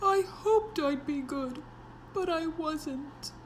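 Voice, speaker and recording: A woman's voice: high voice, takes it slowly, slight background noise, pitched above the performer's own voice. A woman voicing an eager, well-meaning but easily tempted male dog, speaking earnestly in a children's picture book read-aloud. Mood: sorry.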